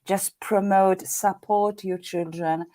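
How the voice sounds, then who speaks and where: A woman's voice: medium pitch, fairly even intonation, slow pace, warm tone, no room sound. Polish-accented woman, dry close sound. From Poland. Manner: earnest, repeats words while searching for them. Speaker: guest, meditation teacher. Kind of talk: podcast interview.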